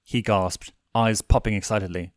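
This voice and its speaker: A man narrating a scripted story in an expressive audiobook style. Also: lowish voice, moderate pace, dry recording, studio quality. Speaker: fan fiction reader and narrator.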